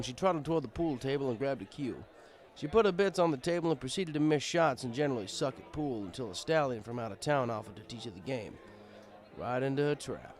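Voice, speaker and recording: A man reading a first-person story aloud as narrator, in a conversational, wry storytelling style. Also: mid-range voice.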